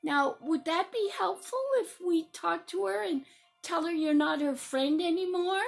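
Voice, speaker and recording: A woman playing a patient teacher who gently and deliberately coaches a child in a children's role-play story. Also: very high voice, vocal fry, faint music behind, exaggerated voice. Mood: sympathetic. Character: patient, soothing adult teacher guiding upset child.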